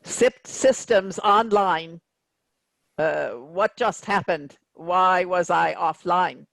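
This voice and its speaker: Actor as a gravelly, deadpan ship computer captain. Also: mid-range voice, no echo, low-quality recording. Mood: rebooting, confused. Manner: measured, formal, deadpan. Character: stern, sardonic autopilot captain computer of a spaceship. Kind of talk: virtual staged reading of a sci-fi comedy.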